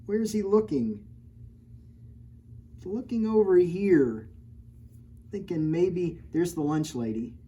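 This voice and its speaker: Woman librarian, storytime reader, mellow tone, playful teasing asides. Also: on the low side, lively intonation, slow pace, long pauses, breathy edge, highs cut off. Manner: playful, teasing, stops often to point out pictures. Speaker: children's librarian. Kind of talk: storytime read-aloud with chatty asides.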